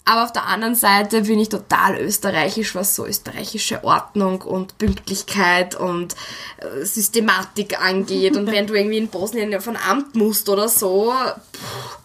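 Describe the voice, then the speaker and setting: A woman's voice: mid-range voice, fairly even intonation, normal speed, fairly bright tone. Chatty young woman laughing often. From Austria. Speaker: interviewee. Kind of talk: casual interview talk.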